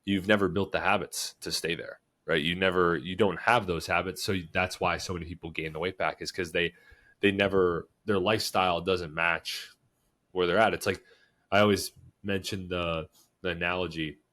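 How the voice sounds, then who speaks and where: Stuffed-up low-voiced podcast co-host. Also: male voice, moderate pace. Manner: relaxed, repeats "like" and "right?" often. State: stuffed up with a cold. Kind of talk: casual podcast talk.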